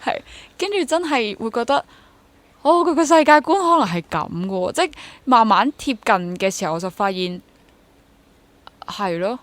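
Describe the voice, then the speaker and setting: A young adult woman with a Hong Kong accent chats casually and reflectively as an interview guest, mixing in English words. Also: mid-range voice. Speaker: interview guest, theatre actor.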